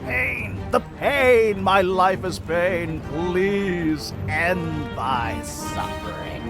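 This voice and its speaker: An actor playing a brash, tormented last heir of a noble line in a video game cutscene, with a dramatic, sardonic delivery. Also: male voice, sits high, very slow, creaky, over loud music, full character voice. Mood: anguished.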